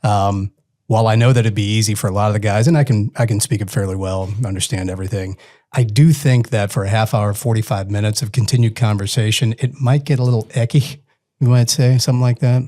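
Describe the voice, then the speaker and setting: A man's voice: fairly low pitch, fairly even intonation, quick pace, mellow. A man hosting a casual interview podcast. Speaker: podcast host.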